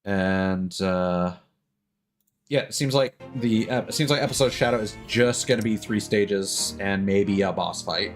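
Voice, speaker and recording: A man with a lowish voice gives relaxed, off-the-cuff commentary on a video game. He thinks aloud haltingly, and game music plays clearly behind him. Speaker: game streamer. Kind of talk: casual gameplay commentary.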